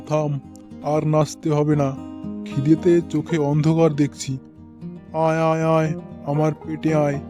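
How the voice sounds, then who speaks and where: A man's voice: fairly high pitch, close to an everyday voice. A man playing an always hungry, bullying man-eating ogre in a cartoon, boastful, bossy and threatening. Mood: gloating. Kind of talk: cartoon character acting.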